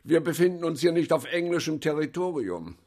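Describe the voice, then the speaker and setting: An actor playing a courteous, smug English consul in a radio play. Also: male voice, a little high, very animated, brisk, a little mellow. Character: polite unhurried male English consul.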